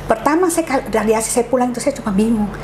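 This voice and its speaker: An older woman, a cancer survivor guest, telling her story in a casual, halting way, acting out what others said to her. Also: fairly high pitch, background noise. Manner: chatty, halting, lively quoted asides.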